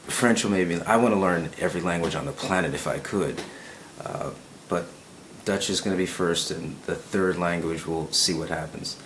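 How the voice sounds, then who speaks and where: A man's voice: a bass voice, a bit bright, slight background noise, poor audio. A man talking in a casual, musing monologue, often checking in with "right?" and repeating words as he thinks. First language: English. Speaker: self-help video host.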